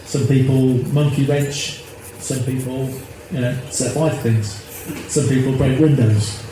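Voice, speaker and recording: A man's voice: medium pitch, steady tone, unhurried. A man in his fifties, an activist being interviewed, talking earnestly in a rambling way that repeats itself. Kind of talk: casual interview. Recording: echoey, noisy phone recording.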